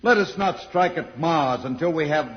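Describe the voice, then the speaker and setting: Man acting a high-pitched, grave statesman judge. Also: animated, moderate pace, lightly acted. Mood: grave, pleading. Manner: formal, earnest oratory. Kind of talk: vintage radio serial acting. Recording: dull, muffled old radio recording.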